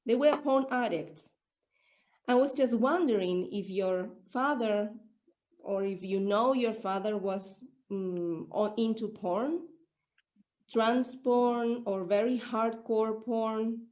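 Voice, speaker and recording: A woman's voice: on the high side, slow pace, a little mellow, rough recording. A woman whose first language is not English, asking questions at an online Q&A, hesitant and searching for her words. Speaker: audience member asking questions.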